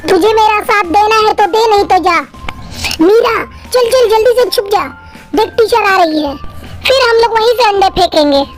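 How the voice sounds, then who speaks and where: A bold little schoolgirl full of mischief for a children's cartoon. The voice is extremely high and childlike, over soft background music. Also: moderate pace. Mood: defiant. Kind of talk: Hindi cartoon story dialogue.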